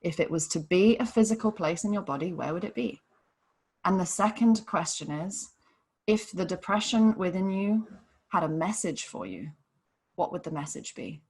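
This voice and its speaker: Woman from Hong Kong, gentle earnest coach, lowish voice, live panel guest. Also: animated, moderate pace, husky. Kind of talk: live online panel talk.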